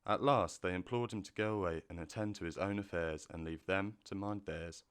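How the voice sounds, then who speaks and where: A man narrating a storybook in a measured, gentle way. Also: deep voice, smooth.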